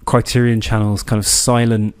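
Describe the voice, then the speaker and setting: A man co-hosting a casual podcast chat, throwing in dry, deadpan quips. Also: on the low side, moderate pace, smooth. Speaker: podcast co-host.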